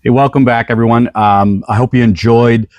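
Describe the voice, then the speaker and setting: A man giving a relaxed, joking tour of a renovated bathroom, with many fillers. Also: mid-range voice, moderate pace, muffled recording. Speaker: co-host renovating a house. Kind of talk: casual chat and house tour. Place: old house under renovation, indoors.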